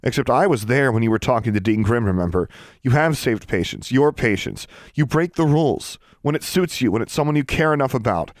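A man's voice: sits low, normal speed, darkish tone, no echo. A man voicing a teenage girl witch who is desperate, defiant and insistent, as in an audiobook. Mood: angry, challenging. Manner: insistent, challenging.

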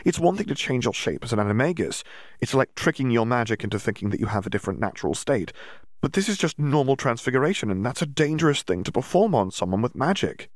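An anxious, bossy teenage witch girl, as a man puts her on in a storybook reading. She lectures in an exasperated, lively rush, her voice lifted well above a man's usual pitch. The sound is close and dry. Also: fast talker, no room sound, lightly acted. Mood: exasperated lecturing.

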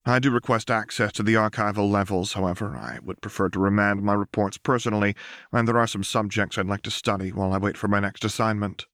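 A man's voice: on the low side, fairly bright tone, no echo, clean studio sound. A man voicing a courteous, determined female secret operative with formal diction in a story reading. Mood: crisp, respectful. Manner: polite, formal, measured diction.

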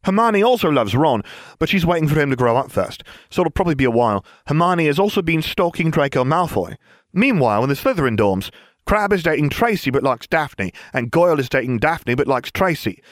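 A man doing a brisk gossipy teen girl. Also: on the high side, sing-song, dry recording, studio quality, voice pushed up. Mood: matter-of-fact. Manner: matter-of-fact, rattling off gossip. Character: brisk gossipy teenage girl giving a briefing.

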